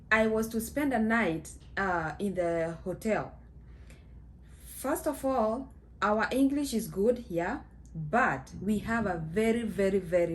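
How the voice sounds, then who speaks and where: A woman's voice: mid-range voice, a little hiss or hum. A woman in her thirties from Kenya with a Kenyan accent, telling a story to the camera in a chatty way full of fillers. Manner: slow, chatty, many fillers, mixes in Swahili phrases. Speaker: vlogger. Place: small indoor room at home.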